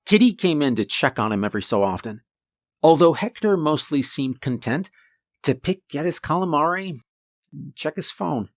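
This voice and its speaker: A man with a deep voice narrating a first-person horror story in a calm, conversational way. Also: normal speed, no echo, clean studio sound.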